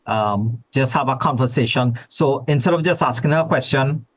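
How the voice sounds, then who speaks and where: A man's voice: mid-range voice, normal speed. A man with a Trinidadian accent presenting at an online forum, chatty and repeating words as he restarts. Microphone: video call. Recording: dull lo-fi video call audio.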